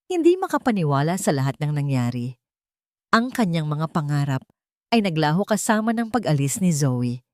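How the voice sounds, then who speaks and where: A woman's voice: lowish voice, no echo, clean studio sound. A woman reading a scripted, dramatic romance story as its narrator.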